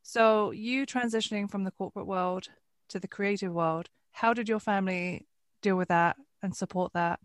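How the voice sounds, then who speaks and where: Woman interviewer, encouraging, quick to chime in, mid-pitched, dry close sound. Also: no room sound. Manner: encouraging, with frequent short backchannels. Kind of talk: casual interview chat.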